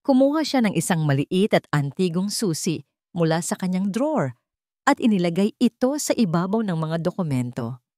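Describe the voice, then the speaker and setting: A woman's voice: fairly low pitch, sing-song, normal speed, a little mellow, no room sound, studio quality. A woman reading a scripted dramatic story as its narrator.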